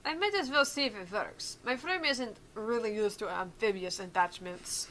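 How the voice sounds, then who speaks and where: A woman's voice: mid-range voice, lively intonation, normal speed, sharp tone. A woman voicing a shy, easily flustered but proud and formal tank girl in a visual novel game. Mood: prim, formal. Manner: stammering bashfully, then stiffly formal.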